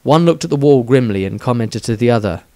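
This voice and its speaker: A man reading his own fantasy story as dramatic scripted narration, in a measured, suspenseful storytelling manner. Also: mid-range voice, expressive, a little mellow, no room sound.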